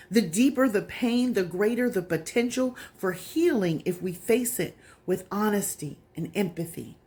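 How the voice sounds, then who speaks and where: A woman giving an earnest, deliberate reading of an open letter, appealing directly and with feeling to the listener. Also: medium pitch, darkish tone.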